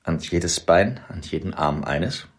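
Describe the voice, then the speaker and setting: An actor in a radio drama speaking as a grim male narrator, in a measured and somber delivery. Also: low voice.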